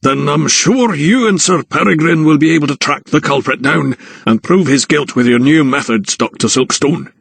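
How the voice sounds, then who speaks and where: A man voicing a gruff, impatient older Scottish anatomist with a curt, sardonic manner in an audiobook. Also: a little high, moderate pace, few pauses, no echo, voice pushed up. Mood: dismissive.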